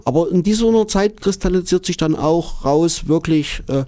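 A man's voice: on the high side, warm tone, no room sound. A man from Germany living in Japan, a guest telling his stories in a conversational interview, often repeating words and tagging "ne". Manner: halting, repeats words, frequent "ne" tags.

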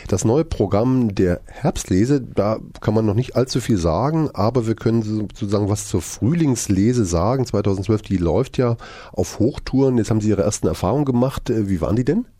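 Male interviewer, fast and husky, dark tone, informal questions with restarts. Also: on the low side, expressive, dry recording. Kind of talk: radio interview.

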